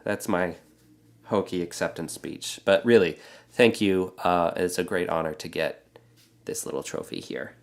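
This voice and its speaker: A man with a stuffy, congested voice from a cold, hosting a casual how-to building tutorial and explaining it in a relaxed way with frequent filler words. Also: low voice, a little slow, vocal fry. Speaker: Lego builder and channel host.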